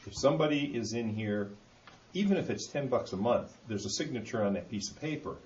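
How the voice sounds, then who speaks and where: A man speaking in a halting, deliberate way as a board member at a public meeting in a hall. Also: mid-range voice, expressive, darkish tone. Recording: muffled, echoey meeting room, background hiss.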